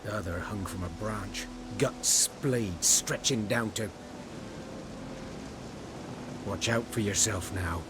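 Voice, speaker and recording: A rustic huntsman from a video game, played by a man, wary and plain-spoken. His voice is low and he takes his time, brooding in a folksy way over a music bed. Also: measured pace, natural voice. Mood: grim, quiet.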